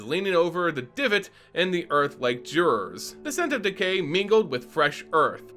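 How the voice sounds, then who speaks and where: A man narrates a fantasy story with theatrical, playful flair. His voice is bright and lively, rising and falling a lot, with soft music playing underneath. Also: a little high. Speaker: channel host and narrator. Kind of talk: dramatic story reading.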